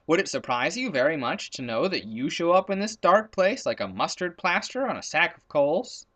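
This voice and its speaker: Guy doing a grumbly excitable leopard from a kids storybook, exclaims a lot, pitch all over the place. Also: moderate pace. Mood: teasing. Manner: indignant, exclamatory. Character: excitable, sulky, bantering male leopard. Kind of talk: storybook character voice in a read-aloud.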